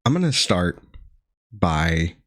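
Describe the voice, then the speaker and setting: A man with a gravelly voice still recovering from being sick, reviewing a horror film with dry sardonic asides and frequent pauses. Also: low-pitched, sing-song, a bit bright, no echo. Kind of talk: casual video review monologue.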